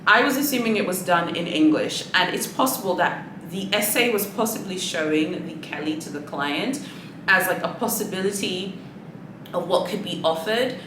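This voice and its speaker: Vlogger, female, native Swahili speaker; low voice, sassy rambling chat, echoey room with audible noise. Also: expressive, moderate pace. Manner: sassy, chatty, rambling with many asides. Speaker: luxury handbag vlogger. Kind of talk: casual chatty monologue.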